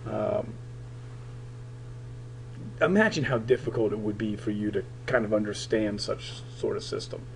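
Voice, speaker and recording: A male political science teacher giving a recorded screen lecture, his voice raspy while it recovers, talking in a halting way with many fillers. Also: on the low side, a little mellow, a little hiss or hum, poor audio.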